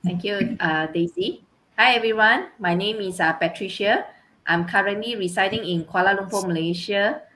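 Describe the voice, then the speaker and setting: A woman's voice: mid-range voice, moderate pace, sharp tone, low-quality recording. A woman casually introducing herself as a panelist on a live online stream. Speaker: online business owner, panelist. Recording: faint background noise.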